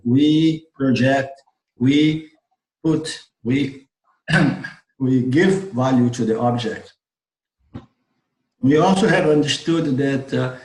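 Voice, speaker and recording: Slow, hoarse man teaching online. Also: mid-range voice, roomy sound, low-quality recording. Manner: halting, restarts phrases, repeats words while explaining. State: strained voice, clears throat often. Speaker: Vedanta teacher. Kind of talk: online class lecture. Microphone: computer mic on a video call.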